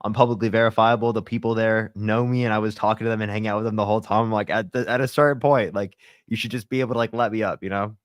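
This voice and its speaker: A man's voice: on the low side, level tone, quick pace, few pauses, no echo, clean studio sound. A young man hosting a casual livestream, answering chat in a laid-back way full of fillers, a little congested with a sore throat. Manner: laid-back, filler-heavy, lots of "like" and "you know".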